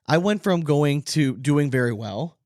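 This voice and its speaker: A man's voice: a little high, expressive, a little slow, a little mellow, no echo, clean studio sound. A man co-hosting a casual sports podcast, ranting combatively with lots of swearing and emphatic repetition. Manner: combative, profane, repeats himself for emphasis. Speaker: podcast co-host.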